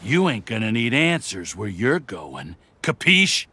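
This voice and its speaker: A sneering mobster-style thug, with a husky, bright-edged voice drawled out slowly in tough-guy slang. Video game dialogue over game music. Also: on the low side, big pitch swings, full character voice. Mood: threatening.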